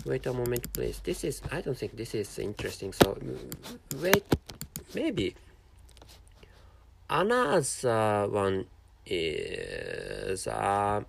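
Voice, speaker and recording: A man whose first language is Japanese, speaking English with a Japanese accent in a halting solo commentary, repeating words and correcting himself. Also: on the low side, wildly swooping pitch, vocal fry, husky. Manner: halting, with heavy repetition and self-correction. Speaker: podcast host.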